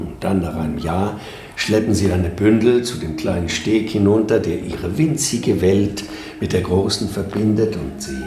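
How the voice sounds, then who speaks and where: An actor playing a grandiose, commanding boss in a radio drama, declaiming with pompous, visionary emphasis. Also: male voice, low voice, dark tone, echoey room, a little hiss or hum.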